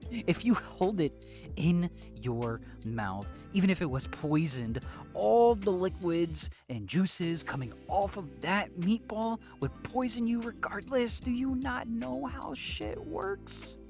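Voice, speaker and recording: A man playing a possessive, psychopathic captor lover in an intimate audio roleplay, mockingly sweet and taunting, moving between softness and sharpness. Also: high-pitched, lively intonation, lo-fi sound, soft background music, heavily acted. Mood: mocking, irritated. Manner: drawn-out, taunting, swinging between soft whispers and sharp outbursts.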